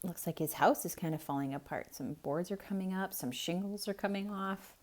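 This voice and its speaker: A woman reading a picture book aloud to children in an expressive style, pausing to ask the listener questions. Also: mid-range voice. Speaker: children's storyteller. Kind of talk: theatrical read-aloud for kids.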